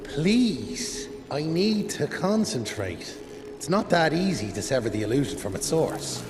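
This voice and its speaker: An actor playing a refined male ghost gentleman with a prim, dry, proper manner in a video game. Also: on the high side, very animated, measured pace, noisy background. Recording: echoey room with music.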